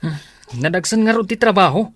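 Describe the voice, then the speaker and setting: A woman's voice: mid-range voice, brisk, dry recording, lightly acted. A woman acting a lazy, whining young man in a radio drama, complaining with an Ilocano accent. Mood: sulky, whining. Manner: complaining, sulky.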